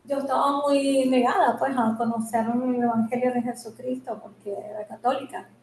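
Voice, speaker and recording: A Venezuelan woman of about fifty tells her story softly, with many small fillers. Her voice is a little higher than most women's and mellow. The room echoes, with faint noise behind her. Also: fairly even intonation, normal speed. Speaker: interview guest. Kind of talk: storytelling in an interview.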